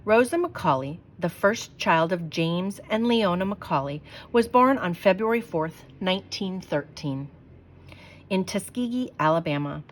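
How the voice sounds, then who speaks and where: A woman reading a children's book aloud in a clear, expressive storytelling manner. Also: lowish voice, a little slow.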